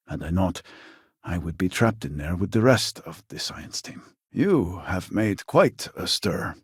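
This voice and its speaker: A man's voice: deep voice, breathy edge, no echo, clean studio sound, exaggerated voice. An actor playing an elderly, proud and impatient male scientist in a video game, speaking in a formal, theatrical way. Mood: weary, grave. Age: older.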